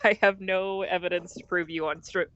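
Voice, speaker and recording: A woman's voice: lowish voice, fairly even intonation, brisk, fairly bright tone, dry recording, dull audio. A woman casually chatting on a game stream, rambling with lots of fillers and giggles. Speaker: streamer and co-host.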